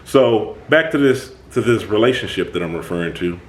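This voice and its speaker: A man hosting his own channel, talking through a personal conflict in an emphatic, animated way. His voice is booming, and he often restarts his sentences. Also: moderate pace, slight background noise. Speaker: channel host. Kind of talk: reflective self-talk monologue.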